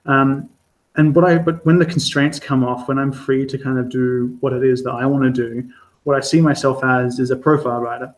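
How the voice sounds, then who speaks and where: An Australian man in his thirties talks to a live-stream audience about his freelance work, going back over his words with plenty of ums. His voice sits in a middle pitch and stays level, with little rise and fall. He sounds candid and unpolished. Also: fairly even intonation, moderate pace, poor audio. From Australia, Adelaide. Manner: hesitant, many fillers and restarts, candid. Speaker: freelance journalist giving a talk. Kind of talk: informal talk to an online audience. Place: home or studio for a live stream. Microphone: streaming setup.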